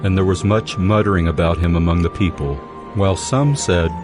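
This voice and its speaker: A man reading scripted narration for a dramatized audio Bible in a measured, solemn way. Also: low voice. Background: music.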